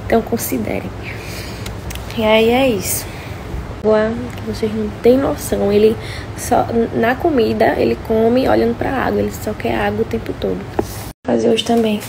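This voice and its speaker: A young woman with a Northeastern Brazilian accent chats casually to her followers. Her voice sits a little high, with a gravelly fry and a slight hoarseness. She is recorded on a handheld phone at home, with clear background noise. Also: fairly even intonation, moderate pace, pauses often. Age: 20s. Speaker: social media vlogger. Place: indoors at home, several rooms. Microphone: phone at arm's length.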